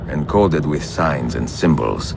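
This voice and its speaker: Game casting: aging Italian-accented assassin leader, extremely low gravelly voice, grave and commanding, street noise behind. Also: male voice, expressive, exaggerated voice. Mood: reflective. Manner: measured, authoritative. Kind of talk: video game dramatic acting.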